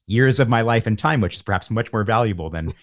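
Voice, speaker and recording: A man's voice: on the low side, expressive, fast talker, dry recording, studio quality. A man speaking as a musician guest in an interview, reflective and earnest, taking discursive, self-deprecating detours as he explains himself.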